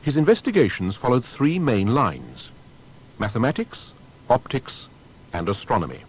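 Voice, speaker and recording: A man's voice: huge pitch swings, normal speed, darkish tone, poor audio. A man reading scripted documentary narration in an articulate way with emphatic phrasing. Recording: faint noise and low rumble.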